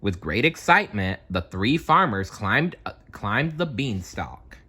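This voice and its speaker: A man reading a children's storybook aloud as an expressive storyteller, theatrical and deliberate in his delivery. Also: on the low side, slightly rough.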